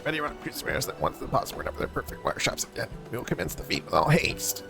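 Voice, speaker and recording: A man doing a creaky, word-mangling goblin villager. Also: medium pitch, big pitch swings, fast talker, over music. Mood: earnest, businesslike. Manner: garbled, oddly phrased speech with doubled words. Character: folksy small goblin-like villager who mangles words. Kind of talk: reading game dialogue aloud in character.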